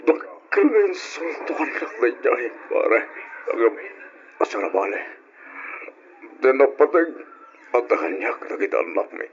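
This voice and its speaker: A man's voice: mid-range voice, sing-song, measured pace, pauses often. An actor playing a troubled, aggrieved husband and father in an Ilocano radio drama. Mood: anguished, bitter. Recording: dull, noisy old radio recording.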